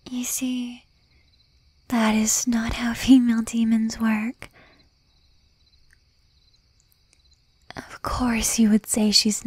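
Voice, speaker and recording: Lady acting as a jealous demon girlfriend in asmr roleplay, super breathy whisper, really slow, sweet but menacing. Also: fairly high pitch, warm tone, no echo. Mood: possessive, jealous. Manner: slow, intimate, with pointed pauses.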